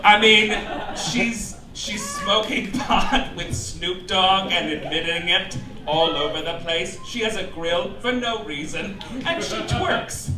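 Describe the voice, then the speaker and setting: A man's voice: sits high, takes it slowly, hardly any pauses, over a noisy crowd. A man performing a theatrical, campy comedy monologue, reading mock-official proclamations as host of a live show. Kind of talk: stand-up comedy monologue read to a live audience. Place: cabaret club stage before a laughing audience. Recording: roomy live club, distant mic, loud noise.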